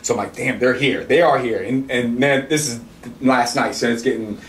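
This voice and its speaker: A middle-aged man hosting a podcast, telling a casual story in an animated, excitable way, swearing freely and restarting sentences. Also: mid-range voice, normal speed. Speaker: podcast host. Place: indoor studio with camo backdrop, at a table. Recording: roomy and distant with faint noise.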